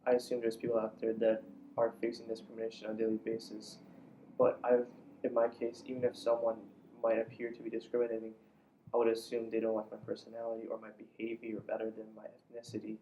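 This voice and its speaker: A man's voice: fairly low pitch, monotone, fast talker, mellow. A young man from Iran, a native Farsi speaker, giving earnest, slightly formal explanatory answers as an interview guest. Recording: distant mic, faint background noise.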